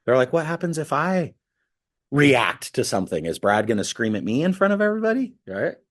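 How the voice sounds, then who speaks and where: A man who is a pastor teaching a ministry class, telling candid personal stories in a lively way. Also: mid-range voice, a little fast. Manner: candid, animated storytelling, voice jumps up when excited.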